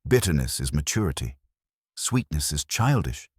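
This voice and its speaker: A man voicing a calm, precise spice merchant in a detective story, measured and exacting. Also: very deep voice, husky, no echo, studio quality, full character voice. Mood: dry, disdainful.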